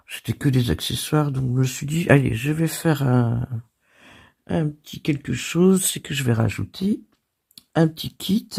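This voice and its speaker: A French woman hosting her crafting channel, hoarse from a cold, chatting casually and laughing at herself. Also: sits low, darkish tone. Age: older. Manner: chatty and self-deprecating, laughing and coughing. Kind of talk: casual chat.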